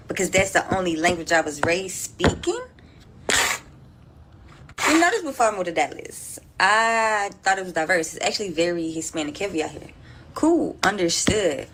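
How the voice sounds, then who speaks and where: A woman recording a personal video, airing a frustrated, measured complaint. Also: fairly low pitch. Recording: noisy background.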